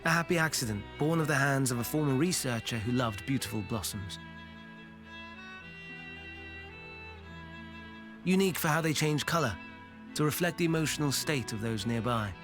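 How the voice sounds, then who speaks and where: A man playing an erudite, gentle researcher in a video game, explaining things in formal, precise, archaic phrasing. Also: mid-range voice, animated, quick pace, music in the background, lightly acted. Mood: calm, explanatory. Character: erudite, gentle male researcher of an ancient people. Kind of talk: scripted video game cutscene acting.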